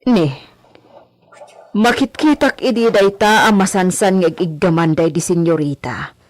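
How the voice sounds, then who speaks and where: An actress with an Ilocano accent playing a gossipy, respectful and easily startled housemaid in a radio drama, full of asides and exclamations. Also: female voice, on the low side, measured pace, close to an everyday voice. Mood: curious, muttering.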